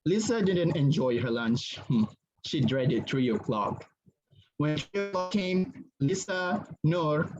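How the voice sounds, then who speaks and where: A man's voice: fairly high pitch, a little mellow. A man narrating a story as a dramatic reading. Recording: dull lo-fi sound, background noise.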